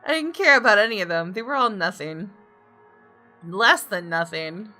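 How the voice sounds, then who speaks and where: A woman's voice: medium pitch, lively intonation, moderate pace, pushed and bright. A woman reading the first-person narration of a jaded, guilt-ridden woman in a visual novel, in a dramatic confessional manner. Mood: bitter. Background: guitar game music.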